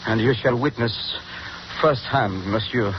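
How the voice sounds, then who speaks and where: A man's voice: medium pitch, unhurried, natural voice. A man playing a cold, vengeful mad scientist in a 1930s radio drama, speaking in a grand, theatrical way. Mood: gloating. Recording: noisy dull 1930s radio recording.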